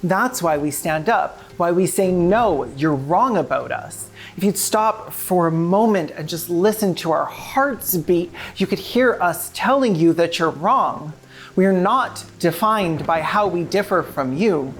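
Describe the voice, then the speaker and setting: A woman's voice: lowish voice, expressive, a little slow, soft background music. A woman host speaking directly to the audience in scripted narration, with informal wording. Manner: informal, sweary. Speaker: video essay creator and host.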